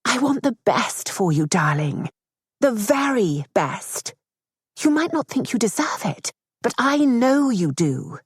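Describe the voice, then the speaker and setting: A woman voicing a stern, scheming aristocratic mother who speaks in a brisk, cutting, commanding way. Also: fairly high pitch, sing-song, slightly rough, no echo, studio quality, pitched above the performer's own voice, exaggerated voice. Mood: earnest, insistent. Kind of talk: audiobook character voice.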